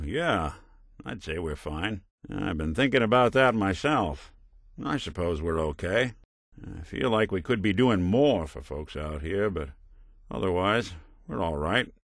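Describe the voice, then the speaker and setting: Weary old-school robot detective, american, deepish, laconic but pitch moves around a lot, good-hearted game character. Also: male voice, fairly bright tone, no echo, clean studio sound, full character voice. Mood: resigned, wry, then earnest, mildly reproachful. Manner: wry, laconic, folksy. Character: world-weary, principled synthetic detective, middle-aged man. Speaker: voice actor. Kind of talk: video game character dialogue, old-time detective manner.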